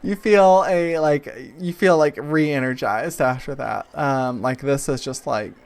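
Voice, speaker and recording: A man narrating a tabletop game as game master, casually and haltingly, searching for his words. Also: medium pitch, creaky, slight background noise.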